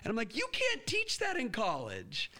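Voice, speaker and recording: A young man hosting a podcast interview, telling stories with lively acted-out quotes. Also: very high voice, normal speed. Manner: animated storyteller, acts out quotes. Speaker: podcast host.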